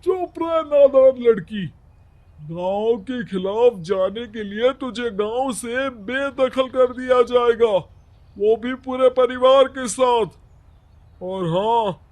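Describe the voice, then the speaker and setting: A man's voice: extremely high pitch, darkish tone. A man playing a stern, domineering village headman in a cartoon moral story, with a commanding, scornful manner. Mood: angry, threatening.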